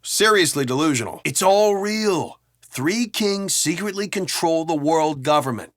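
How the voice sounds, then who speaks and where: A man's voice: a little high, animated, measured pace, a bit bright, no echo, studio quality. A man playing a brash, griping American city cop in video game banter, talking in a colloquial, wry and profane way. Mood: argumentative. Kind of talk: casual in-game banter dialogue.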